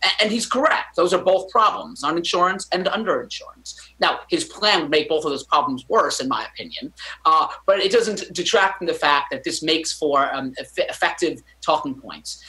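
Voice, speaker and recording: Bright-voiced man hesitantly analyzing. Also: on the high side, lively intonation, moderate pace, low-quality recording. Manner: hesitant, many fillers and restarts. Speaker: physician guest commentator. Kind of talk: remote news interview. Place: home study with bookshelves.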